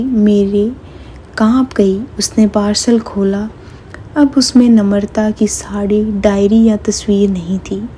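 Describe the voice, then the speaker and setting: Slow, mellow female horror-story narrator. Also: mid-range voice, slight background noise.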